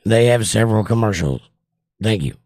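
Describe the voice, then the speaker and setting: An older man with a drawl hosts a relaxed livestream, reading viewers' comments aloud and answering them. His voice sits low and sounds worn. The sound is dry and close, with no room echo. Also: moderate pace. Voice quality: voice worn from years of trouble. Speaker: livestream host reading chat. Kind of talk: casual livestream chat.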